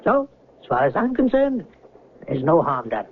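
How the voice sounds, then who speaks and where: An actor playing a mild, polite and guarded history teacher in a 1970s radio drama, courteous and a little hesitant. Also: male voice, a little high, big pitch swings, normal speed, phone-quality sound. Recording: thin band-limited vintage radio recording, hissy.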